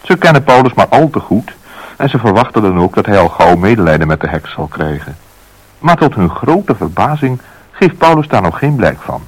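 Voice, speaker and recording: Guy narrating a radio story, lowish husky voice, lots of pitch movement. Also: big pitch swings, normal speed, rough recording. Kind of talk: scripted radio play narration.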